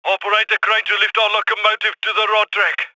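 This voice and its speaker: An actor voicing a brisk, wry heist coordinator in a video game, giving urgent instructions over a radio. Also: male voice, high voice, little pitch movement, pushed and bright, poor audio. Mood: wry, focused.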